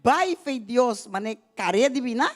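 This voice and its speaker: A woman leading a church service, speaking in short fervent phrases and pausing for her interpreter. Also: a little high, expressive. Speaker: pastor leading a testimony service.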